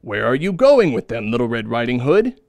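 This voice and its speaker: A man voicing a wicked, sly male wolf in a storybook reading, with a gruff voice. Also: medium pitch, big pitch swings, moderate pace, dry recording, studio quality, voice pushed down.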